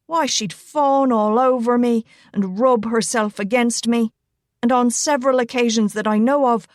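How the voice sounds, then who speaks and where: A woman's voice: on the high side, moderate pace, no room sound, clean studio sound, close to an everyday voice. A woman voicing a big, charming Irish man who teases in a thick Irish brogue. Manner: playful thick brogue, lilting.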